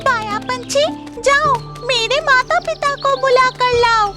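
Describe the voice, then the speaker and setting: A woman voicing a sweet, excited young female cartoon bird, gushing and childlike, with loud music behind. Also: very high voice, slow. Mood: thrilled. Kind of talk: cartoon character acting.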